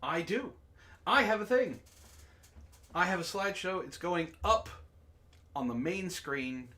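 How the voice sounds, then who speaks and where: High-pitched man hosting a casual stream. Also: unhurried, bright, forceful tone. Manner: informal, profane banter. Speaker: host. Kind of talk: casual group livestream chat.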